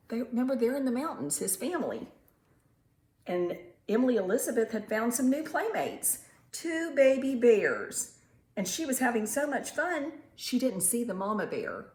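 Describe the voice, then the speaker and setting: A woman reading a picture book aloud to young children in an animated, teacherly way, pausing to ask simple questions and stretching words for emphasis. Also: a little high. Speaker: children's storytime reader and teacher. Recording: roomy echo with faint background noise.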